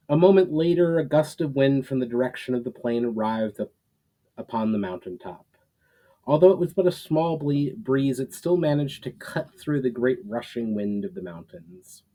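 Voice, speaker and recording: A man who is an author reading his own fantasy writing aloud in a storytelling style, a little halting in delivery. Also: mid-range voice, warm tone, low-quality recording. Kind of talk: casual intro, then dramatic storytelling read aloud.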